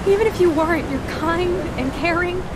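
An actress playing a rebellious young woman who is a fiercely loyal best friend, blunt and protective, in a video game, with loud storm noise behind. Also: very high voice, fairly quick. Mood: encouraging.

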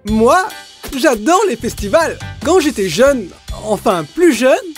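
A woman voicing a boastful, excitable hairdresser in a French cartoon. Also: a little high, takes it slowly, faint music behind. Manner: boastful, enthusiastic. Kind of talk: French animated series dubbing.

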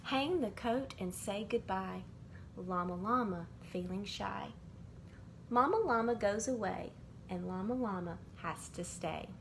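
A middle-aged woman reading a rhyming children's picture book aloud in a measured, sing-song way, as bedtime stories from a bedroom. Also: mid-range voice. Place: small indoor bedroom. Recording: clearly noisy amateur home recording.

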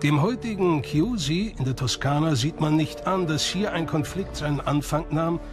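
A man's voice: fairly high pitch, normal speed. A man reading scripted documentary narration. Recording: music behind the voice. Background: background music.

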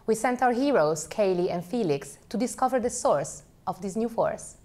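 A woman's voice: medium pitch, animated, normal speed, very noisy. A young woman studio presenter delivering scripted links in a dramatic, tongue-in-cheek manner. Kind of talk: scripted link.

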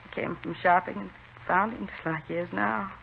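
A woman's voice: fairly low pitch, steady tone, moderate pace, creaky, slight background noise. A woman playing a weary, subdued middle-aged widow in a 1950s radio drama, on an old muffled recording.

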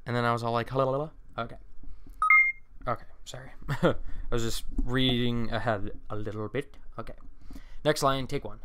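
A man's voice: mid-range voice, noisy background. A man who acts as an amateur, talking casually and self-consciously between takes, often apologizing and rambling. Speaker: amateur voice actor.

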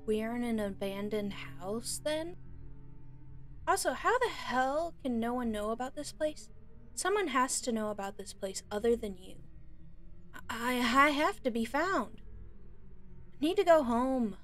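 A woman playing a frightened but defiant young female captive in an audio roleplay, pleading and indignant in a halting way. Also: fairly high pitch, animated, fairly bright tone, soft background music. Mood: desperate.

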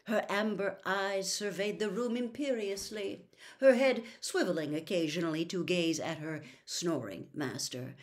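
An older woman reads a novel aloud from a small room lined with bookshelves, her voice pitched in an ordinary middle range. She reads expressively and swells into a louder, declaiming tone for quoted notices. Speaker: storyteller.

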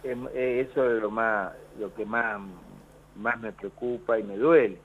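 A man's voice: fairly low pitch, fairly even intonation, measured pace, slight background noise. An Argentine man, a union leader calling in to a radio show, talking in a rambling way with many restarts. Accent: Argentine Spanish. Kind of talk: phone-in radio interview. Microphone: telephone. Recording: tinny phone line.

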